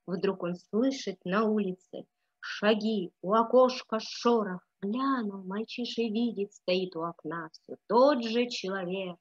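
A young adult woman reading a story aloud in an expressive, dramatic way with deliberate phrasing. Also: medium pitch, breathy edge. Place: small room indoors. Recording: dull lo-fi webcam sound.

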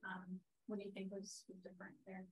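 A woman's voice: mid-range voice, monotone, brisk, husky, rough recording. A woman working as a sports reporter, putting questions at a press conference.

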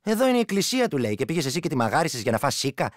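An earthy, irreverent ex-soldier from the village spins a yarn in a radio play. His speech is full of exclamations and swings widely in pitch. The sound is close and dry. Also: big pitch swings, dry recording. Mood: indignant. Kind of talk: radio play monologue, colloquial storytelling.

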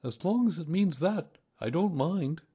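High-pitched, humble little bear. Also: male voice, lively intonation, a little slow, warm tone. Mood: humble, puzzled. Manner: simple, earnest, plaintive. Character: small, humble, slow-witted, dreamy bear. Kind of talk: children's storybook character voice.

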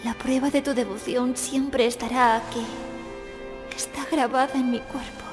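A woman playing a quiet, devoted young female assassin in an anime fan dub, solemn and measured. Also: high-pitched, fairly even intonation. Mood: tender sorrow. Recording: long reverb under background music.

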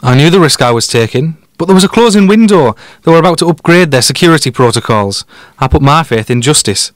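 A man's voice: a little high, lively intonation, quick pace, dry recording, natural voice. A man playing a cocky, sardonic detective in an audio drama, blunt and drily sarcastic, with a Teesside accent. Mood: aggrieved. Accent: Teesside English. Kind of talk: audio drama staged as a podcast.

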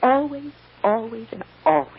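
An actress playing a tender, earnest mother in an old radio drama, speaking with soft, coaxing emotion. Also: high voice, normal speed, creaky. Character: tender, earnest young mother reassuring her adopted daughter. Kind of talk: old-time radio soap drama acting. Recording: muffled, noisy old radio recording.

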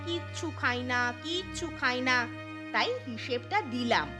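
A woman voicing a sharp-tongued, exasperated daughter-in-law in a cartoon story, scolding and indignant. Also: sits high, animated, measured pace, music in the background.

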